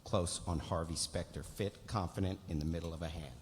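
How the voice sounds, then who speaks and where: A man's voice: sits low, fairly even intonation, a little fast. A man hosting a live script read as its writer, making stage remarks and reading out directions. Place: large hall, live on stage. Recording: echoey hall, clear background noise.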